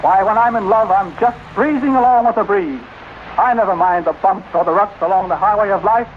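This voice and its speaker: A man's voice: high-pitched, fairly quick, close to an everyday voice. An actor playing a wholesome, modest young man, earnest and folksy, in a 1930s radio commercial. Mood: dreamy, gushing. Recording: tinny, hissy 1930s radio recording.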